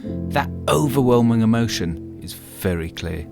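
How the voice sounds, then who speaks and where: A man presenting a food travel documentary, narrating in a calm, measured way. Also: medium pitch, vocal fry. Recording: narration over guitar music.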